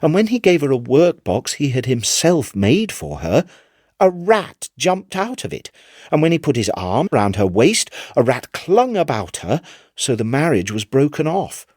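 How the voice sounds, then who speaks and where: A man narrating a literary tale in an expressive, theatrical storytelling manner. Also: lowish voice, dry recording, studio quality. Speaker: narrator, audiobook reader. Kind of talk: dramatic scripted literary narration.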